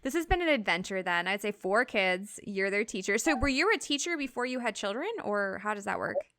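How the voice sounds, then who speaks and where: A woman hosting a podcast interview, enthusiastic, with quick reactions to her guest. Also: medium pitch, lively intonation, pushed and bright. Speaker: podcast host. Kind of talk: casual interview chat.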